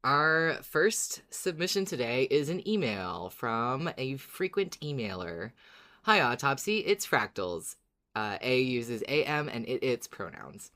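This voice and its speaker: An androgynous-sounding podcast co-host speaks in a middling pitch. The delivery is casual and conversational, whether trading fan theories or reading listener mail aloud. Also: very animated, slow pace, pushed and bright.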